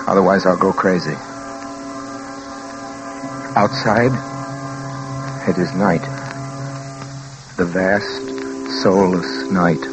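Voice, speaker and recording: An actor playing a frightened young man traveler coming unraveled, halting and dramatic, in a 1940s radio play. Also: deep voice. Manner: dramatic, halting, repeating himself. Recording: noisy vintage 1940s radio recording.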